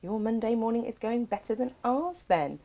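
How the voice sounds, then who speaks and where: A loud, bubbly woman co-hosting a live craft shopping show, chatting and laughing often. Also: on the high side, lively intonation, moderate pace.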